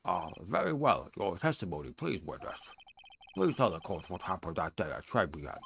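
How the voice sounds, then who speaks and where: A man voicing a formal male courtroom judge who speaks in a measured way, for a fan-voiced game. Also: low voice, very animated, vocal fry, a bit bright, slight background noise, full character voice.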